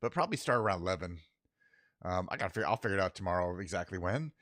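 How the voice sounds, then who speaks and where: A man's voice: low-pitched, lively intonation, rapid-fire, a bit bright, dry recording, clean studio sound. A middle-aged man hosting his own livestream, chatting casually as he signs off, rambling and correcting himself, his voice a little worn. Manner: rambling, self-correcting, muttered asides. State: voice a bit worn from travel. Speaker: livestream host. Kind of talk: casual stream sign-off chat.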